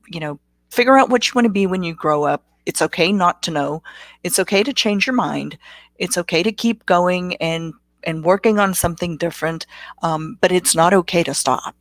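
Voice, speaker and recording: A woman's voice: fairly low pitch, no echo. Motivational middle-aged woman guest. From South Africa. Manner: motivational, reflective. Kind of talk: casual interview answers.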